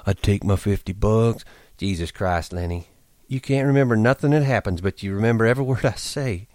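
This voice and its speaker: A man voicing a weary, gruff, resigned ranch hand from a novel, terse and wooden in rural American dialect. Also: on the low side, a little fast, a little mellow, no room sound, clean studio sound, lightly acted. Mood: weary irritation.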